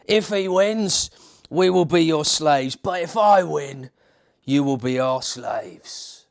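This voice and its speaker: A man voicing a huge, menacing giant soldier with a Cockney accent, shouting and taunting in a storybook tale. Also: animated, takes it slowly, vocal fry, heavily acted. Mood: taunting challenge. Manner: shouting, taunting, booming laughter.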